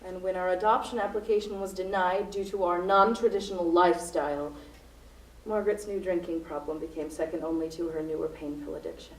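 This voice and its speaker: A woman's voice: fairly low pitch, steady tone, a little fast, natural voice. A woman playing a distraught, grieving widow who has taken hostages, in a dramatic, poetic radio play performance. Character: distraught grieving widow, armed hostage-taker telling her love story. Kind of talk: student radio play acting. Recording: roomy distant stage recording with music.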